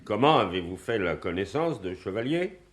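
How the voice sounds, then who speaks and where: An actor playing a stern, sceptical police commissioner in a mystery play, brusque and authoritative. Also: male voice, lowish voice, very animated, normal speed. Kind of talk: French radio mystery play acting.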